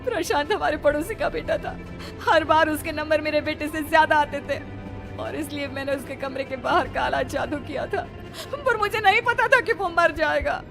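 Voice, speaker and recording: Lady doing guilty desperate mom, high pleading voice, dramatic cartoon story, music behind. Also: normal speed, vocal fry. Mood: tearful, remorseful. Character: guilt-ridden desperate mother, pleading and tearful. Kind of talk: Hindi cartoon story acting.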